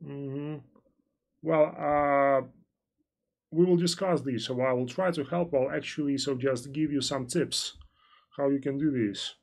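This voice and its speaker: A man's voice: medium pitch, unhurried, creaky. A man working as a financial analyst, hosting a live-stream trading lesson and answering viewer chat in a loose, rambling way full of fillers and restarts.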